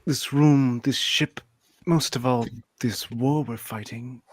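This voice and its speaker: A man's voice: mid-range voice, steady tone, takes it slowly. A man playing a troubled, thoughtful female starship counselor in an amateur sci-fi radio play, speaking hesitantly. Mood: uneasy, tender.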